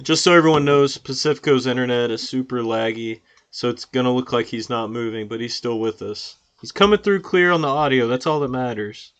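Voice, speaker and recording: A man's voice: mid-range voice, fairly quick, few pauses, a bit bright, clear, resonant tone, highs cut off. A man hosting a fantasy football podcast, chatting casually and joking around with his co-host and guest. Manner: laid-back, joking, laughs often.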